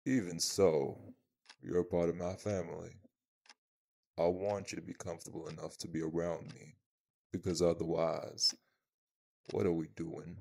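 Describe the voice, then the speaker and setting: A man playing a blunt but protective male vampire in an intimate audio roleplay, speaking gruffly and informally. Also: deep voice, flat delivery, moderate pace, pauses often, warm tone. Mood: gentle, concerned.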